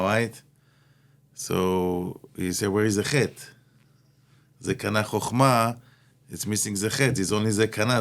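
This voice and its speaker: A middle-aged man from Israel, a rabbi, giving a Torah talk in conversation, hesitant with many fillers and Hebrew terms mixed in. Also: lowish voice. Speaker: rabbi, guest speaker.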